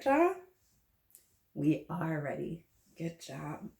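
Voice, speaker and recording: A woman reading a picture book aloud to young children, gently encouraging them and pausing to ask them questions. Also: fairly low pitch, echoey room. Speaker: children's story-time host reading aloud.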